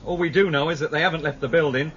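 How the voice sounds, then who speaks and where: An actor playing a male security officer in a radio play, reporting briskly and matter-of-factly, on a dull old recording. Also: fairly high pitch, fairly even intonation, fairly bright tone, a little hiss or hum, close to an everyday voice. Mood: plain report.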